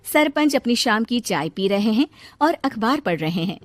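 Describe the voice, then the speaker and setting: A woman's voice: fairly high pitch, sing-song, moderate pace, dry recording. A woman narrating a scripted cartoon story as the storyteller. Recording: music behind the narration.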